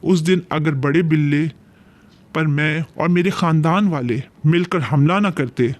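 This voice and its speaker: A man's voice: fairly high pitch, unhurried, close to an everyday voice. A man voicing a big, powerful, sly female eagle ruler in a cartoon fairy tale, with grand, persuasive speech-making. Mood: regal, demanding.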